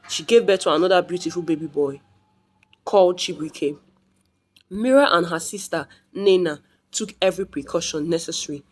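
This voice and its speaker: Woman narrating a dramatic folktale. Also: lowish voice. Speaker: storyteller.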